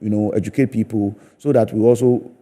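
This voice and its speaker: A man's voice: lowish voice, fairly even intonation, a little fast, mellow. A Ghanaian man talking emphatically as a guest in a casual TV interview, repeating phrases for emphasis. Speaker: stage actor and interview guest.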